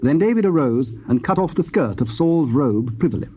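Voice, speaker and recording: Male narrator, mellow tone, solemn formal scripture reading, measured, dull hissy cassette sound. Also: a little high, animated. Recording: muffled, hissy old cassette tape.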